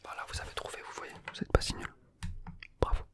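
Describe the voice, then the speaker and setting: A young adult whispering throughout an ASMR roleplay as a playful, teasing examiner who gently reassures the listener. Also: fast. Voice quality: soft unvoiced whisper.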